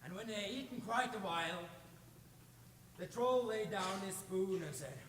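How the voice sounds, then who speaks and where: A young man from Norway narrating a folktale live on stage in a theatrical, expressive way. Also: sits high, normal speed. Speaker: storyteller. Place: stage in a large hall. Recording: echoey hall, distant mic, clear noise.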